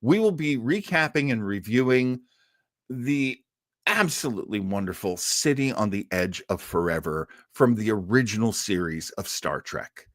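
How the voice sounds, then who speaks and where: An American man speaks as a chatty live stream host, with very lively, swooping intonation. He rambles jokily from one aside to the next in a casual style. The sound is close and dry. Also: on the low side, normal speed, dry recording. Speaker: live stream host of an old-time radio show. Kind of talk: casual live chat with viewers.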